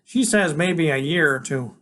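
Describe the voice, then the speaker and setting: A man's voice: on the high side, expressive, unhurried, fairly bright tone, slight background noise, pitched above the performer's own voice. A man reading aloud, voicing a clever, bossy boy with grand, formal phrasing. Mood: lofty.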